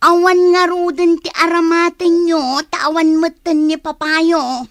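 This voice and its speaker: A woman's voice: very high voice, level tone, unhurried, no room sound, clean studio sound. A woman playing a strict, stingy stepmother with a bossy, scolding manner in a radio drama. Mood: exasperated.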